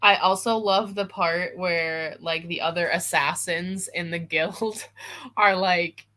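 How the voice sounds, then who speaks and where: A woman with a bright, forward voice co-hosts an online book chat. She talks informally and loosely, with a giggly streak, as if on a video call with a friend. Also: on the low side, fairly even intonation, takes it slowly. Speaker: co-host of book discussion live show. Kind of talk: casual livestream book chat. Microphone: video call over internet.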